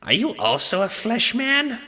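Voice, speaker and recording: Gruff, growling, weary skeletal Death, swooping pitch. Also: male voice, huge pitch swings, measured pace, bright, forceful tone, in a large hall, full character voice. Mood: distasteful, erratic. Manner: blunt, dismissive, swearing. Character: huge gruff skeletal Death, bored, weary, crude, out of touch. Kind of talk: audio drama character acting.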